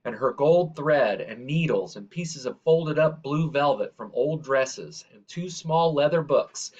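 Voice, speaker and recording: A man reading a children's story aloud in a measured, expressive way, drawing out the ends of phrases. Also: on the high side, lo-fi sound. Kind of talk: read-aloud scripted narration.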